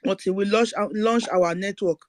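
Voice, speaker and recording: A man's voice: high-pitched, fairly even intonation, moderate pace, no room sound, studio quality. A man hosting an online audio discussion, handing the mic to speakers in a brief, informal way. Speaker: host.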